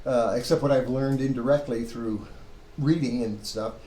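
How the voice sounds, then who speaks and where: A man's voice: mid-range voice, steady tone, normal speed, dark tone. A man lecturing informally to a class in a conversational way, posing questions to himself and correcting his own phrasing as he goes. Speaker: seminary Hebrew lecturer. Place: classroom with students. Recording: roomy echo, clear background noise.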